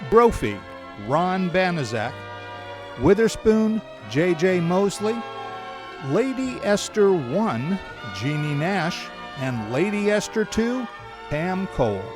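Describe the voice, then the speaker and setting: A man's voice: high-pitched, big pitch swings, slow pace. A man working as an announcer, formally reading out the cast credits of a radio play. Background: music.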